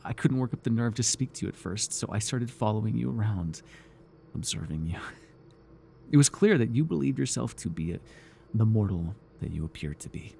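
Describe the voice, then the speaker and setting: Man acting low-voiced, creaky, obsessive cult devotee. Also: lively intonation, dark tone, slight background noise. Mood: reverent, eager. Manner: theatrical, breathy sighs, nervous chuckles, halting. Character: devoted, obsessive male cult follower, giddy and fawning. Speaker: voice actor. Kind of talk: yandere ASMR roleplay.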